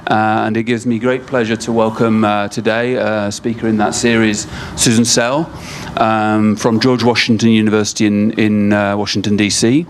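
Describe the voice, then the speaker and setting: A man with a low, even voice introduces a speaker at a university lecture, hesitating often and filling pauses with ums. He speaks into a microphone in a lecture room, and faint background noise sits under the speech. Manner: halting, many fillers and restarts. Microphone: microphone at a lectern.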